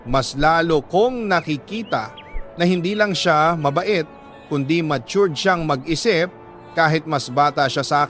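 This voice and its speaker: A Filipino man narrating a first-person story dramatically, in a sentimental, deliberate delivery with short pausing phrases. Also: on the high side, a bit bright. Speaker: radio storyteller reading a listener's letter.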